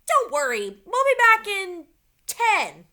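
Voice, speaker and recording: A woman voicing an eager, adventurous young girl in a children's storybook, with an excitable, playful delivery. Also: very high voice, slow pace, voice pushed up, exaggerated voice. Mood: excited, cheery.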